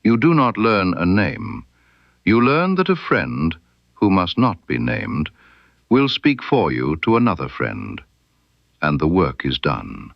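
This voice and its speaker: Guy reading as a knowing underworld lady in a detective tale, soft, guarded, amused, takes her time, low creaky voice. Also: wildly swooping pitch, no room sound, dull audio, heavily acted. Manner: soft, deliberate, veiled warnings.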